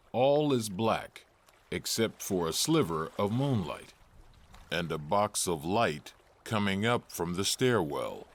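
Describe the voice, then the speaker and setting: A man narrating a play, reading stage directions in a measured, matter-of-fact way. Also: sits low.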